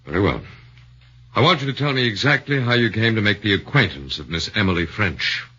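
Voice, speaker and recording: A measured defense lawyer, low-pitched yet bright and husky, his questions rising and falling with lively emphasis. The sound is dull and lo-fi, like an old 1940s radio broadcast. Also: full character voice. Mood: probing. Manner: deliberate, probing, formal. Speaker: radio drama actor.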